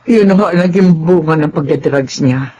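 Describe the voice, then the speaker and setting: A woman acting an earnest, worrying middle-aged Filipina mother in a Tagalog radio drama, with an expressive, emphatic delivery. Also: low-pitched, moderate pace. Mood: polite, concerned. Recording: dull lo-fi audio with faint noise.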